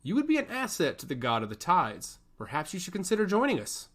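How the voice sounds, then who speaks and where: A man's voice: high-pitched, wildly swooping pitch, fast, fairly bright tone, pitched above the performer's own voice, heavily acted. A man voicing a smug, menacing servant of a sea god in an audio story, ominous and mock-polite. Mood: taunting, persuasive.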